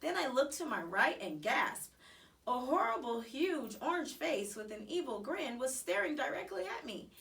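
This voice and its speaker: A woman reading a children's story aloud in an expressive, animated way with dramatic emphasis. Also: high-pitched, moderate pace, distant mic. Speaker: storybook reader.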